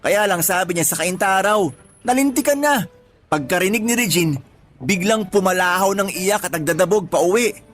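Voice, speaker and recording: A man's voice: high voice, lively intonation, moderate pace, a bit bright, soft background music. An actor playing an exasperated man who complains about his pregnant partner in colloquial radio drama dialogue. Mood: exasperated recounting.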